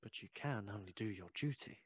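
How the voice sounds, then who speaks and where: A man playing a curious, courteous Victorian gentleman in an audio play, speaking in a polite, formal, inquisitive way. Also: fairly low pitch, a little fast, husky, no room sound.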